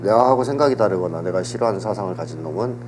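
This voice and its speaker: A man getting over a cold, explaining politics and history in a measured, lecturing way on a talk show. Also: deep voice, expressive. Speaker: political commentator. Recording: audible hum and background noise.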